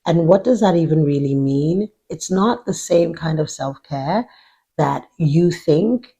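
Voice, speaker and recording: Husky middle-aged woman coach. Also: low voice, expressive, a little slow, dark tone. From Nigeria. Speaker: executive life coach. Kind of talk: motivational advice talk to camera.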